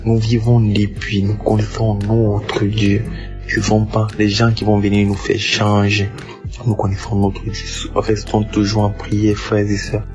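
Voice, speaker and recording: A man's voice: on the low side, darkish tone. A man giving a prayerful religious exhortation in short, repeated phrases. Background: faint music.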